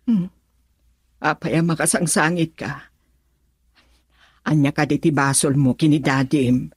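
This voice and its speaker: A woman playing a consoling, middle-aged mother-in-law in an Ilocano radio drama, with a husky voice. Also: low voice, fairly even intonation, unhurried, no echo, studio quality.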